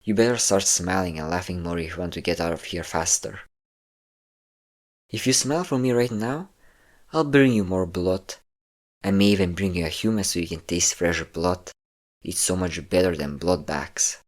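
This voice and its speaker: A man acts out a cocky vampire who keeps a captive. His voice is low and he talks in a smug, coaxing way, full of endearments. It is an intimate, possessive monologue in the manner of a roleplay audio drama. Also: normal speed, lightly acted. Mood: cocky, teasing. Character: possessive, cocky male vampire captor, obsessive and condescending.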